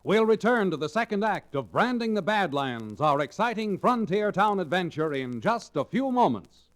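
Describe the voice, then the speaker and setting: High-pitched vintage radio announcer, a man. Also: sing-song, moderate pace, a bit bright. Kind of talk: old-time radio announcing. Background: organ music.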